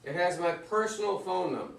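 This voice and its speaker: An actor in a staged play reading, playing an avuncular, plainspoken retired baseball legend who gives folksy advice. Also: male voice, high-pitched, brisk, vocal fry, a little hiss or hum. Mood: encouraging. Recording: roomy stage, far from microphone.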